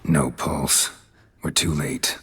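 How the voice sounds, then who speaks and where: An actor in a video game playing a gruff, stoic monster hunter who mutters tersely in clipped, deadpan fragments. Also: male voice, rumbling low, slow pace, full character voice. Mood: terse command. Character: gruff, laconic male monster hunter for hire, stoic and watchful. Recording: music behind.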